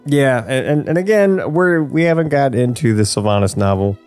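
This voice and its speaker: Guy hosting a chatty gaming podcast, super animated and goofy, bit of music behind. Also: mid-range voice, moderate pace, smooth. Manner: jokey, animated, playful. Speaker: podcast host. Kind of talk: casual podcast chat about game lore.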